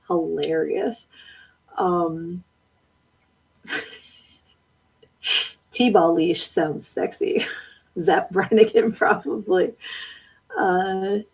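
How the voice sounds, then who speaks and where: A woman's voice: lowish voice, drawn-out words, creaky, long pauses, darkish tone, breathy edge, poor audio. A woman hosting a live-stream podcast, reading viewer comments aloud and chatting back with frequent laughs. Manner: chatty, laughs often, talks back to the chat. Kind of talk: casual live-stream chat.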